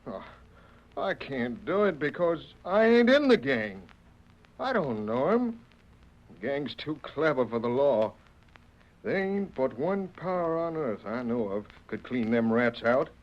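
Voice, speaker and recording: An actor playing an earnest, indignant cowboy prisoner in a Western radio drama, speaking plain, emphatic frontier dialect. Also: male voice, mid-range voice, big pitch swings, a little slow. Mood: earnest pleading. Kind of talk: 1930s-40s Western radio acting. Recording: muffled old radio recording.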